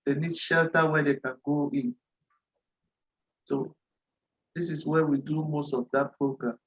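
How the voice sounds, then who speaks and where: Male nonprofit rep from Cameroon, voice sits a bit high, methodical and repetitive delivery. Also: steady tone, dull audio. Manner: deliberate, repeats phrases, occasional throat clearing. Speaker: nonprofit representative at a virtual dialogue. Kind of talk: formal presentation. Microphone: video call.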